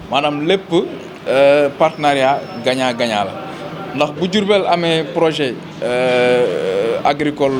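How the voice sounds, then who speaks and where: A man with a Senegalese accent explains things in an interview as a local official, pausing mid-phrase and repeating words as he goes. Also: fairly high pitch, noisy background.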